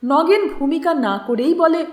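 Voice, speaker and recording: High-voiced woman reading a story, very animated. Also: unhurried, roomy sound. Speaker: storyteller reading fiction aloud. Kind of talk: expressive literary audiobook narration with acted dialogue.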